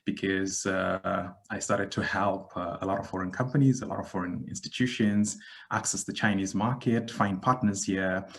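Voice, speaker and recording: Low, flat-voiced man from Zimbabwe. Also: gravelly. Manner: measured, reflective, frequent "uh" pauses. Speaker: guest, digital transformation expert. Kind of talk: podcast interview answer.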